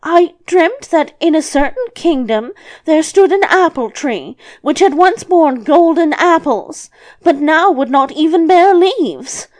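Casting: female reader as elderly fairy-tale grandmother, high voice, sly, scolding yet soothing, drawn-out delivery. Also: animated. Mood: plaintive. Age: older.

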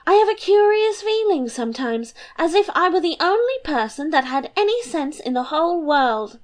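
A woman voicing a giddy, whimsical young princess who talks in high, excited bursts. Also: moderate pace.

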